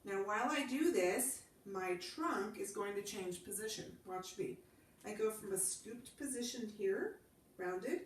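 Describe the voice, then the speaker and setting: A woman with a stuffy head from a cold, calmly leading a Pilates class and cueing each movement while she demonstrates. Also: medium pitch, echoey room, recorded from a distance, slight background noise. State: congested from a cold. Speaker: Pilates instructor. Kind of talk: guided exercise class instruction.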